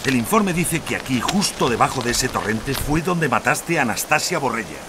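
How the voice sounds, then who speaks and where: Actor como policía duro y sarcástico, muy expresivo. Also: male voice, mid-range voice, expressive, moderate pace, background noise, lightly acted. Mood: accusing, sardonic. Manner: curt, commanding, accusatory. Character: hard, sardonic policeman escorting a killer. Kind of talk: audio drama acting.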